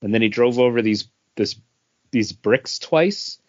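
A man co-hosting a podcast, telling anecdotes in an informal, halting, conversational way. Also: medium pitch. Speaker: podcast co-host. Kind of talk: casual chat and anecdotes. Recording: dry but dull lo-fi audio.

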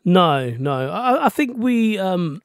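A man's voice: high-pitched, very animated, slow pace, clear, resonant tone, no room sound, studio quality. A man from England with an English accent, co-hosting a football podcast in casual, jokey chat.

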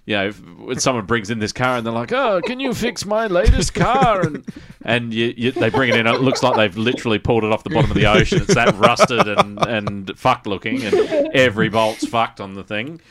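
An Australian man hosting a gaming podcast, chatting casually and haltingly with plenty of swearing and colloquial turns. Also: mid-range voice, big pitch swings, a crowd in the background. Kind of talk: casual chat.

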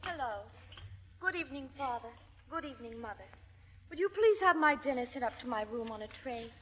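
An actress playing a sulky, self-pitying teenage daughter with an American accent, theatrical and affectedly formal in 1950s radio style. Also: female voice, high voice, expressive, brisk, a bit bright, slightly rough, big-room sound, heavily acted. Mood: haughty, sulky.

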